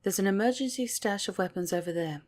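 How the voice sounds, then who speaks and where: A woman playing a commanding alien station captain in an ASMR audio roleplay, authoritative and measured. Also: mid-range voice, a little mellow, natural voice.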